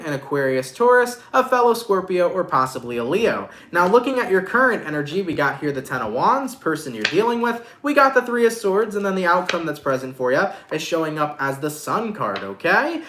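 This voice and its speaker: Nasal, lively man reading tarot. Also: high voice, echoey room. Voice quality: nasal, congested. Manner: lively, affectionate, slangy. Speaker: tarot reader.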